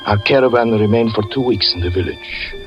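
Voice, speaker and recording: A man playing a brooding magician who narrates his story in a 1940s radio drama, slow and solemn. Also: on the low side, close to an everyday voice. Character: brooding male magician and fortune teller narrating his past. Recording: dull 1940s radio broadcast with music.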